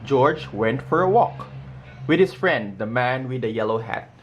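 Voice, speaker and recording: A man reading a children's storybook aloud in a deliberate way, pausing between short, simple phrases. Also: medium pitch, expressive, faint music behind. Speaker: storybook reader. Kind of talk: read-aloud of a children's picture book.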